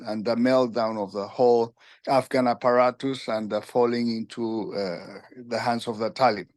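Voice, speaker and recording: Older man from Peru, mid-pitched voice, halting speech with many fillers, dry close sound. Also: darkish tone, dry recording. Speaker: guest, retired UN official. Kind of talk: conversational interview.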